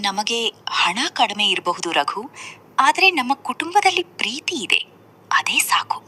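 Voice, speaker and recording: Woman playing gentle village mother, husky and bright. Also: a little high, big pitch swings, unhurried, full character voice. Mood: warm.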